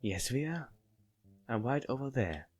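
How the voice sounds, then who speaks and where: A man's voice: mid-range voice, sing-song, unhurried, a little mellow, voice pushed up. A man voicing a sweet, coy, obsessive young woman from a visual novel, in a lilting, teasing way. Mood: sugary, coy. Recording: faint background music.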